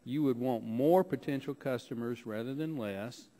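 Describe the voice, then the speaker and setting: Congressman, mid-pitched voice, teasing questioner at a hearing-room desk mic. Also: normal speed, dark tone, slight background noise. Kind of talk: committee hearing debate.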